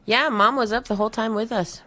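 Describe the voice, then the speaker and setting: A woman's voice: on the high side, fairly quick, pushed and bright. Tired, giggly woman co-host. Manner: giggly, agreeable, recounting. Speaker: podcast co-host. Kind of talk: casual chat.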